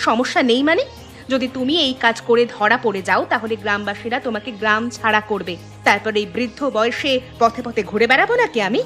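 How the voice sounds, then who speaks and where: A woman's voice: on the high side, fast talker, a bit bright, faint music behind, close to an everyday voice. A woman voicing an upright elderly village wife in a cartoon, nagging and reproachful. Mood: reproachful, worried. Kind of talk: cartoon voice acting.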